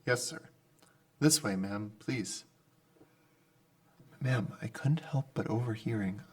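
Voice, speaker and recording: A man's voice: lowish voice, slow pace, a little mellow. A man playing a kindly, dutiful clerk, deferential and apologetic, in old-time radio drama style. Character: meek, kindly, dutiful underpaid male clerk.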